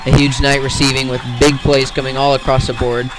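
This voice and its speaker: An American man works as a play-by-play announcer on sports radio. His voice sits at a medium pitch and moves very little in tone. He delivers commentary in a measured, informational way. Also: level tone, music in the background, low-quality recording.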